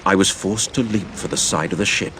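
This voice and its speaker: A man narrating a dramatised adventure story in a theatrical, measured storytelling manner. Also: low-pitched, background noise.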